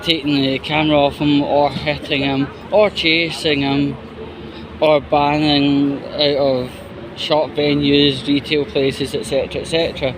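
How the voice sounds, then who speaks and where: A man giving casual opinion commentary in a halting, rambling, disapproving way. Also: fairly high pitch, noisy background. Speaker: commentary YouTuber.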